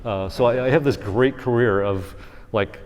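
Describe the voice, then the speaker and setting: A man's voice: medium pitch, normal speed, a little mellow. A man speaking as a panelist in loose, filler-heavy casual talk. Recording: reverberant convention hall.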